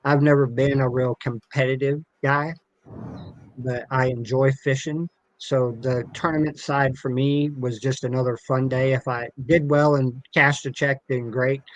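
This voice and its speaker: A man with an even, fairly flat delivery talks as a podcast guest. He is plain-spoken and earnest, explaining his background in a casual way. The recording is dry, with hardly any room sound. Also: mid-range voice, a little slow, no echo, low-quality recording. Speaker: podcast guest, tournament director. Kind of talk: casual interview talk about himself.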